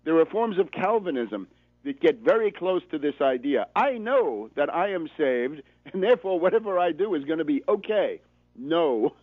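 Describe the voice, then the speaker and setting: American male radio commentator, sardonic and emphatic, slightly high-pitched, dry phone-quality audio. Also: big pitch swings, normal speed, no room sound. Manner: emphatic, rhetorical, sardonic, with repeated phrases. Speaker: political radio show host. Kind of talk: political commentary monologue. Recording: narrow phone-like sound, dry.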